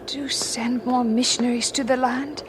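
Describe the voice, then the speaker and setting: A woman's voice: fairly high pitch, steady tone, normal speed, close to an everyday voice. A woman playing an earnest, devout British spinster housemaid, dreamy and apologetic, in an audio drama. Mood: fervent prayer. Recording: noisy ambience behind the voice.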